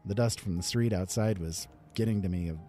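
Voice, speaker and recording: A man narrates a fantasy tale in the first person, wry and rueful. His voice is low and mellow, with faint music underneath. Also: brisk. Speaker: storyteller.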